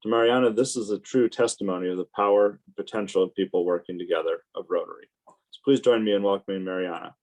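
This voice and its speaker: Low-voiced man reading an introduction. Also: a little mellow, poor audio. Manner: measured, with fillers and small restarts. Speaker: day chair introducing the speaker.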